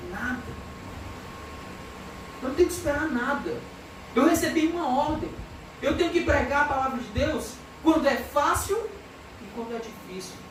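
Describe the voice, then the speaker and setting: A woman with a Brazilian Portuguese accent preaching an expository sermon, earnest and emphatic, reading scripture aloud. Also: high voice. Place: church hall. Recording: echoey church hall from far off, background noise.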